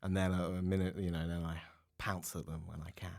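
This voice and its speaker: Man, English accent, fairly low pitch, casual comic chat, rambling absurd humour. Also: flat delivery, brisk, gravelly, a little mellow. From Norfolk, England. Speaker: podcast guest, comedian.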